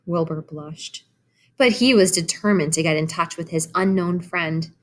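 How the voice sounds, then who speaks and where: A woman reads a children's story aloud in the manner of a teacher. Her delivery is animated and explanatory, and she pauses to talk directly to young listeners. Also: lowish voice. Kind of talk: expressive storybook read-aloud with asides to children.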